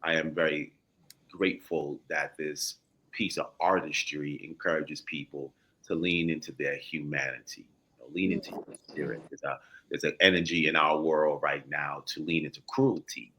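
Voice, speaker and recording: A man speaking as a guest in an earnest, reflective and encouraging way, with deliberate phrasing. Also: a bass voice, steady tone, pushed and bright, slight background noise, poor audio. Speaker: actor, show guest.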